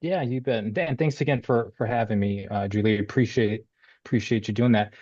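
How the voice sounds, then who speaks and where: A man's voice: fairly low pitch, brisk, darkish tone, dull audio. A middle-aged man speaking as an interview guest, easygoing and loose, with many "uh"s and restarts. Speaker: bank lending executive, guest. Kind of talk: casual interview talk.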